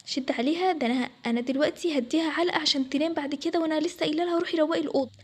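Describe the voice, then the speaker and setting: A woman's voice: sits high, racing, close to an everyday voice. A woman playing a scornful, scolding mother with an Egyptian accent in an acted family story. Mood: angry, threatening. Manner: scolding, dismissive. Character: harsh, scornful mother who favours one daughter.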